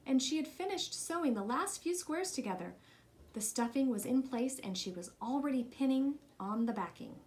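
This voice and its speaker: A woman's voice: high-pitched, animated, moderate pace, darkish tone. A woman reading a children's picture book aloud as scripted story narration. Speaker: storybook reader.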